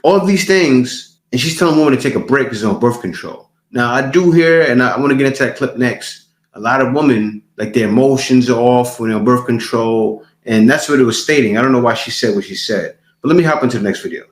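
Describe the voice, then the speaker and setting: A man comments on clips for his channel, sounding incredulous and repeating his key words for emphasis. His voice sits at an ordinary middle pitch. It rings a little in an echoey room. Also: brisk. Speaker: reaction video host.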